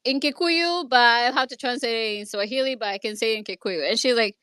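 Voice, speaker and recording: Bright-voiced Kenyan woman telling a story. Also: fairly high pitch, brisk, clear, resonant tone, no room sound, clean studio sound. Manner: hesitant, with many restarts and repeated words; earnest, speaks from her own experience. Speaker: speaker in an online audio discussion. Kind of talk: personal storytelling in a casual discussion.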